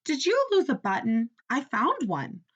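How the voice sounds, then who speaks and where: A woman's voice: wildly swooping pitch, a little slow, a bit bright, dull audio, heavily acted. A woman voicing a small, polite storybook sparrow that tentatively offers help. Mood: politely helpful. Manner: polite, tentative, high-voiced.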